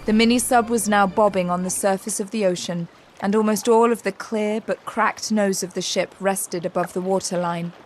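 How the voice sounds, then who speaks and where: An actress narrating a sea adventure audio drama in a composed, scripted dramatic style. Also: female voice, mid-range voice, fairly even intonation, normal speed, a little hiss or hum, natural voice. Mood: measured, suspenseful.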